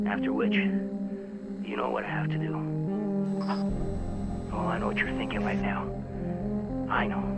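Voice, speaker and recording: An actor playing a grim, doomed soldier and devoted father, confessing in a hushed, solemn voice message in a video game. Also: on the high side, vocal fry. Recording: loud music under the voice.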